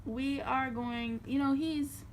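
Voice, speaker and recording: A woman's voice: high-pitched, steady tone, takes it slowly, over a noisy crowd. A young woman hosting a casual interview, easygoing and chatty, with frequent ums. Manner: easygoing, laughs often, says "um" a lot. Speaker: host. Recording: faint background noise.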